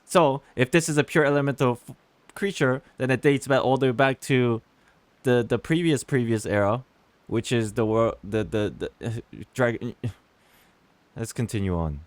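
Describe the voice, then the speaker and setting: A man's voice: medium pitch, normal speed, dry recording. A man streaming a game with casual commentary, musing and speculating aloud to his chat. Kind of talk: casual live playthrough commentary.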